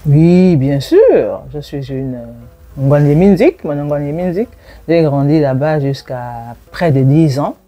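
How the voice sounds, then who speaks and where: A woman in her forties from Gabon, a university lecturer speaking in an interview and recalling her childhood in a reflective way, pausing with fillers and laughing now and then. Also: sits low, very animated, mellow. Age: 40s. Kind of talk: interview, personal testimony.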